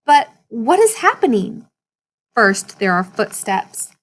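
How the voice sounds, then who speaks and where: A woman reading a children's picture book aloud in an expressive storytelling manner for young listeners. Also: a little high, slow pace. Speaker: story reader.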